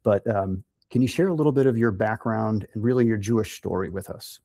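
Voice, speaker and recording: A man's voice: on the low side, a little fast, warm tone, no room sound, studio quality. A man interviewing a guest, upbeat but hesitant, with many ums. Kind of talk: casual interview.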